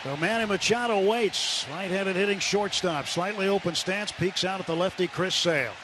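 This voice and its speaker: A male American play-by-play announcer with a fairly high voice. He calls baseball live on the radio from a stadium booth, with loud ballpark noise all around him. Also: moderate pace. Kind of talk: live sports commentary. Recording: noisy ballpark radio broadcast.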